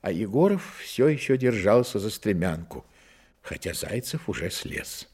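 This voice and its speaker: Lowish, husky, animated male narrator. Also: a little slow. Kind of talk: expressive literary narration in a radio drama.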